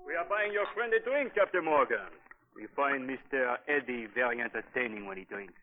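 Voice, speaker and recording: A suave, calculating secret policeman played by a man speaks in a medium-pitched voice. He is formal and measured with silky menace, in 1940s radio drama style, on a dull vintage broadcast. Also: animated, a little hiss or hum, lightly acted. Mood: amused, smooth.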